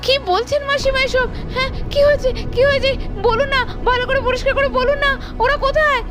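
A woman's voice: extremely high pitch, level tone, quick pace, over music, pitched above the performer's own voice. A woman voicing a caring young woman in a doll story, eagerly firing questions.

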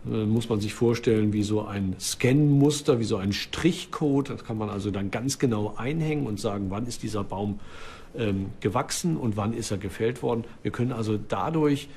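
A man speaking as an archaeologist in an interview, explaining off the cuff with halting, filled pauses. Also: lowish voice, darkish tone, a little hiss or hum.

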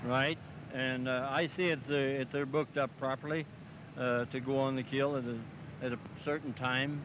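A middle-aged man, talking plainly and haltingly about cattle in an outdoor interview, with many "uh"s and "see?"s. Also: medium pitch, fairly even intonation. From Missouri, US. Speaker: packing plant representative for a farmers group. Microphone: handheld mic held by interviewer. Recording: noisy 1970s 16mm film sound, outdoors.

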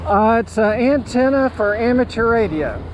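An American man in his sixties chatting casually and haltingly as he narrates an outdoor vlog. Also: extremely high pitch, lively intonation, background noise. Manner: halting, unhurried. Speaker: amateur radio operator and vlogger. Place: outdoors at a beachside park. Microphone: handheld action camera or phone at arm's length.

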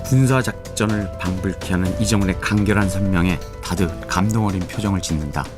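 A man narrating an audio drama in a measured, even storytelling manner. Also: low voice, over music.

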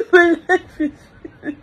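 A distraught woman, crying and wailing through an emotional lament, repeating phrases over and over. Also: very high-pitched.

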